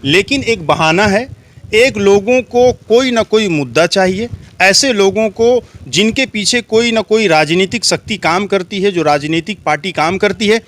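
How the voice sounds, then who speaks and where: A man's voice: high voice, fairly bright tone, no echo. An Indian man giving earnest political opinions in an interview, speaking emphatically and oratorically, repeating phrases for emphasis. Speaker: street interviewee.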